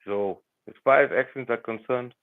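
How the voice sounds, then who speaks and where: A man's voice: mid-range voice, moderate pace. A South African man chatting casually to livestream viewers, earnest and rambling. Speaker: livestreamer and community volunteer. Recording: tinny phone-like livestream audio.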